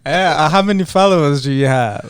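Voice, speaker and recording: Brief: male host, smooth clear tone, dry close sound, halting curious questions. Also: fairly high pitch, dry recording. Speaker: podcast host. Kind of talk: interview questions.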